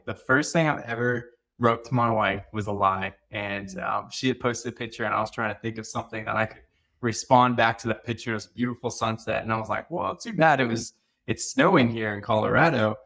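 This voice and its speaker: A man's voice: fairly low pitch, a little fast, creaky, fairly bright tone. A young man talking casually as a podcast guest, often restarting his phrases as he rambles along.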